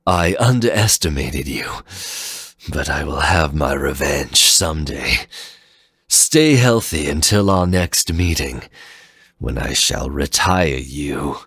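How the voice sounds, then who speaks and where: A man voicing a stern, proud guardian leader in a formal, deliberate, threatening way for a dramatic story. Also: a bass voice, animated, fairly bright tone, breathy edge, dry recording, full character voice. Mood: defeated, vengeful. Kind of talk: dramatic fan fiction reading.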